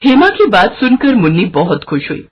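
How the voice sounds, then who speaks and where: Animated woman storyteller. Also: medium pitch, normal speed, dull audio, a little hiss or hum. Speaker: story narrator. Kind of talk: scripted storytelling narration for a moral cartoon.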